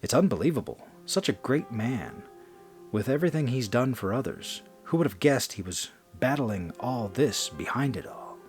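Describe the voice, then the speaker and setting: A man's voice: mid-range voice, big pitch swings, normal speed, darkish tone, faint music behind, lightly acted. A man voicing a shocked, earnest investigator at a death scene in a horror story.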